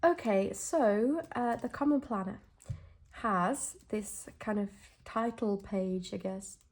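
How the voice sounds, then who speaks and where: A young woman with a croaky, slightly rough voice after a bout of flu talks to the camera from her desk. She is chatty and enthusiastic, with plenty of ums and restarts. Her pitch sits in an ordinary middle range. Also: slow pace. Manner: enthusiastic, chatty, many fillers. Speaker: planner vlogger. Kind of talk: casual chat while setting up a planner.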